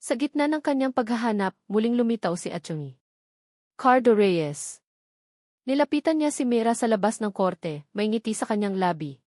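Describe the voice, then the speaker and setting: A woman's voice: fairly high pitch, expressive, moderate pace, darkish tone, no echo. Woman narrating a drama, dry close sound. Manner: reads characters' dialogue within the narration. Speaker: storyteller. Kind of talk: scripted dramatic narration of a love story.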